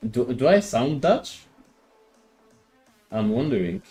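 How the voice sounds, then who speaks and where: Male game streamer from Greece, unhurried and halting, restarts his words a lot, soft music behind. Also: medium pitch, very animated. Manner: hesitant, repeats words, answers chat. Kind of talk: casual live-stream chat.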